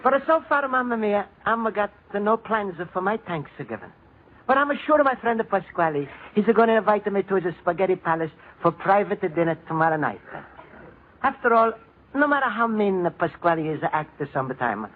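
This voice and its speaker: An earnest Italian immigrant from a vintage radio comedy. His voice sits very high and cheerful, with an Italian accent and broken English. The sound is narrow and tinny, with faint noise. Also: expressive, normal speed. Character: cheerful, naive Italian immigrant man writing home. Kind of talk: 1940s radio comedy acting. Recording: tinny, noisy 1940s radio recording.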